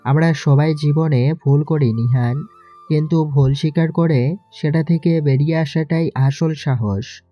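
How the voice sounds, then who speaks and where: A woman voicing a calm, wise young male friend in an audio love story, measured and reassuring. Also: sits low, lo-fi sound, faint music behind. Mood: consoling.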